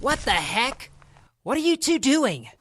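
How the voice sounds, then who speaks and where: A woman's voice: high voice, very animated, a little slow, fairly bright tone, heavily acted. A woman playing a blunt, resentful, accusing schoolboy in an audio drama. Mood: suspicious. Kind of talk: anime-style audio drama acting.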